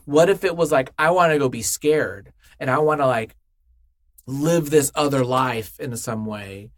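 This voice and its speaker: A man's voice: medium pitch, unhurried, no room sound. A man chatting casually as an interview guest, telling things in a rambling way and acting out imagined quotes. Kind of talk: casual chat.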